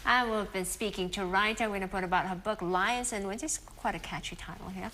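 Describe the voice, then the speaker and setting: A woman hosts a morning TV show from the studio. She fires off quick, prompting questions at a rapid pace. A faint hiss sits behind her. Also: medium pitch, bright, forceful tone. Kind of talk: TV interview hosting.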